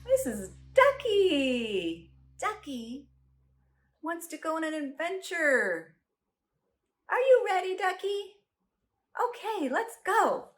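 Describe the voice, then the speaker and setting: A woman's voice: very high voice, very animated, takes it slowly, long pauses. A woman hosting storytime for young children, talking in a playful, sing-song way and prompting them to join in with questions. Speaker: children's storytime host at a library. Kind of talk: read-aloud and playful talk for young children.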